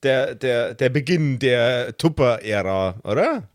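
A man's voice: medium pitch, expressive, takes it slowly, dry recording, studio quality. A man narrating an improvised tabletop game as game master, with a playful, teasing manner.

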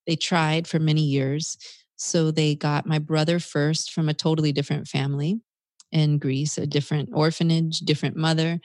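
Woman, American-raised, low register, reflective conversational storyteller for an interview, dry studio-like sound. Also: flat delivery, moderate pace, darkish tone, no echo. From United States, South Carolina. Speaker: interview guest.

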